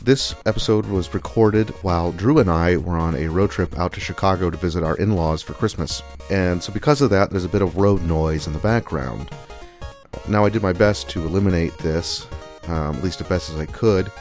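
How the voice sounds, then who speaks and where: A man's voice: sits low, normal speed, a little mellow, faint music behind. A man giving a casual spoken introduction as a podcast host.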